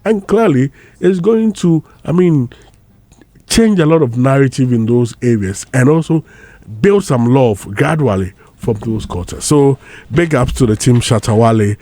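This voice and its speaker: A man's voice: mid-range voice, animated, a little slow, dark tone, no room sound. A man, co-hosting a radio show and hyping an event in an upbeat way. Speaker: radio co-host. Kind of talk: casual studio banter.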